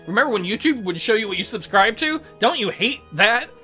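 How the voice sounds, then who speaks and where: A woman's voice: a little high, expressive, brisk, bright, forceful tone, soft background music. A woman hosting a let's play, giving casual game commentary full of joking, sarcastic asides.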